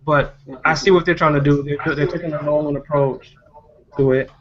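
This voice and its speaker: A man's voice: on the high side, steady tone, moderate pace, a crowd in the background. A man hosting a casual panel discussion, giving his opinions in a conversational way. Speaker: discussion host. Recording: dull lo-fi podcast audio.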